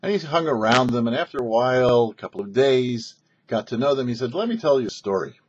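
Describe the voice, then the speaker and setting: An American man narrates a religious story in a mid-pitched, husky voice. He tells it as an anecdote, voicing bits of dialogue as a host would. The recording is a little dull and lo-fi. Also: animated, fairly quick, darkish tone.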